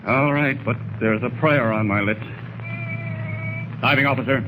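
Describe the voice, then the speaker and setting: An American actor playing a cautious, grave submarine captain in a 1940s radio drama, giving orders in a clipped, commanding way. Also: male voice, mid-range voice, normal speed, lightly acted. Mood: worried, reluctant. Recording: noisy old 1940s radio recording.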